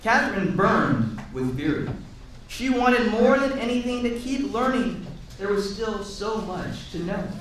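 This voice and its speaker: A man reading a picture book aloud to children in an expressive, encouraging way, pausing to chat and ask them questions. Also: high voice, a little hiss or hum. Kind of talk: animated read-aloud with asides to a young audience. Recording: echoey room, distant mic, audience sounds.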